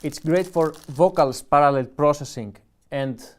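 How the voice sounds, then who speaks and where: Greek-accented man unboxing music gear. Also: a little high. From Greece. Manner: relaxed, halting, restarts and self-corrections while reading. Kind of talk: casual live-stream unboxing, reading a manual aloud.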